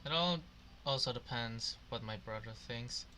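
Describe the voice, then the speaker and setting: A man's voice: mid-range voice, normal speed, noisy background. A man doing casual let's play commentary, musing hesitantly and reading choices aloud. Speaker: gamer playing and reacting.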